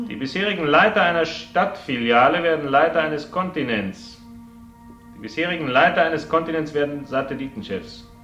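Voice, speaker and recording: Pompous bossy magnate, tense raised voice, roomy but muffled radio drama sound. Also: male voice, on the high side. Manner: pompous, forceful. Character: grandiose, domineering male corporate magnate addressing staff. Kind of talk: radio play speech. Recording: dull, echoing room sound.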